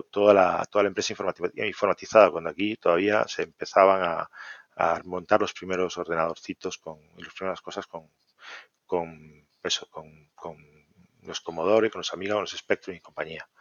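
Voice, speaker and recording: A man's voice: sits low, gravelly, no room sound, muffled recording. A middle-aged man raised in Venezuela, a podcast guest rambling and correcting himself as he talks about old computers. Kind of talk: casual interview chat.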